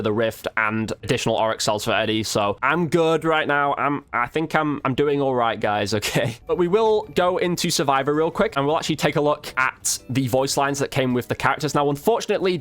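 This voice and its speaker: A man's voice: mid-range voice, fairly bright tone. A man giving casual, informal game review commentary as a video host, chatting directly to his viewers.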